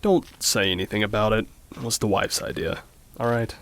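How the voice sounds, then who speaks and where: An actor playing a gruff, weary male searcher with a gravelly voice. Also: lowish voice, a little fast, lightly acted. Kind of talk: audio drama acting.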